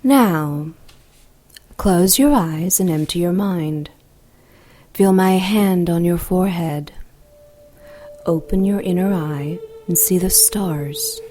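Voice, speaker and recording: An actress playing a merciless, coldly seductive demon goddess in an audio drama, commanding and deliberate. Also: female voice, lowish voice, dark tone, soft background music. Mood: hypnotic, breathy.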